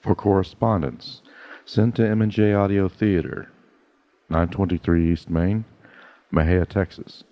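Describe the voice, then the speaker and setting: Deep-voiced male announcer, dull sound. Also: level tone, measured pace. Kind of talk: scripted radio address read-out.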